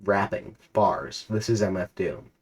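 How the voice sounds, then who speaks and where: A man reading a silly, absurd story aloud in a deadpan narrating voice, now and then cracking up. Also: sits low, a little slow, breathy edge. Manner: deadpan narration, breaking into laughter.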